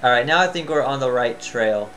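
A man's voice: mid-range voice, expressive, fairly quick. Man, tired, casual gameplay commentary, chatty, thinks aloud, faint background music. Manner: chatty, thinks out loud, hums to himself. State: tired, voice near cracking. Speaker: gaming channel host.

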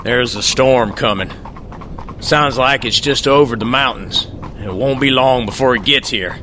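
Man playing a slow, gruff old coach driver. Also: medium pitch, background noise, close to an everyday voice. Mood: grim. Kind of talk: horror audio drama acting.

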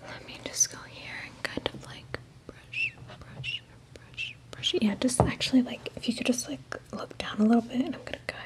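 A woman's voice: fairly high pitch, huge pitch swings, normal speed. Casting: young woman, whispered ASMR personal attention, casual reassuring delivery, close-miked in a small room. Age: young adult. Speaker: ASMR creator playing a hairdresser. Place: small room indoors at home. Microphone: close desktop condenser microphone.

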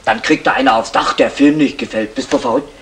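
A man's voice: medium pitch, steady tone, a little fast, close to an everyday voice. Man playing brusque cocky crook, noisy recording. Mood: bossy, cocky. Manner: brusque, impatient. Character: gruff, bossy, cocky male safecracker, the leading crook. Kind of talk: German radio crime comedy acting, 1960s. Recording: noisy old 1960 radio recording.

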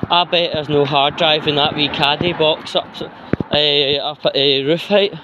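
A Scottish man rambling casually in a halting way, with long mid-sentence pauses, recording himself on a phone as he walks along a street. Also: on the high side, steady tone, lo-fi sound. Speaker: vlogger. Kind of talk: rambling casual walking monologue. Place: outdoors, walking along a street pavement. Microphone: handheld phone while walking. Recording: outdoor phone recording with traffic noise.

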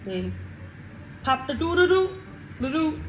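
A woman's voice: sits high, big pitch swings, a little slow, over a noisy crowd. A woman in her twenties telling a casual, slangy story emphatically to a phone camera in a hotel room. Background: background music.

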